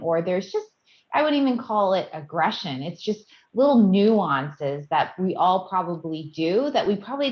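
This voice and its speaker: A woman's voice: on the low side, muffled recording. A woman on a discussion panel, thoughtful, who often repeats words as she restarts.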